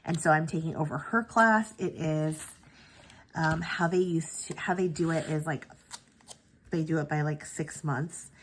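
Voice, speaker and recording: Low-voiced chatty woman with a stuffy nose. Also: a bit bright. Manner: chatty, frequent ums and self-corrections. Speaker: planner sticker channel host. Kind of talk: casual planning vlog chat.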